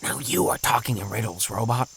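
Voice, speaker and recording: A man's voice: mid-range voice, a little fast, creaky, a bit bright, slight background noise. A man playing a kindly, practical father in a kids' audio drama, reasonable and encouraging. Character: kindly, sensible, practical father of two kids.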